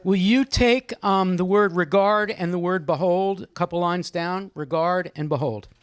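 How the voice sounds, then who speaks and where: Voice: mid-range voice, moderate pace, clean tone, no echo, clean studio sound. A host leading a group discussion at a live gathering, speaking conversationally and teasingly, calling on people by name. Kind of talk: informal live moderating, with some poetry reading. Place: indoor room at a live gathering.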